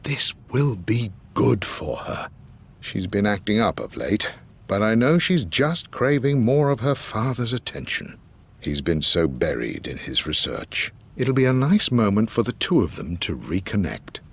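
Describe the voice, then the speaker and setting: Courteous British butler, expressive delivery. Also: male voice, lowish voice, vocal fry, breathy edge, exaggerated voice. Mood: fond, concerned. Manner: formal, courteous, measured. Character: loyal, patient elderly English butler of an aristocratic household. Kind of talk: dramatic voice acting in a video game.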